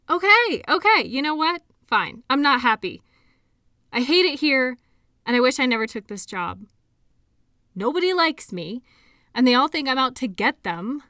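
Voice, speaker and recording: A woman acting an earnest, defensive and lonely sports doctor in an intimate audio drama, speaking in an emotional, halting way. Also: high voice, sharp tone, no room sound, studio quality, exaggerated voice. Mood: exasperated, upset. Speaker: audio roleplay performer.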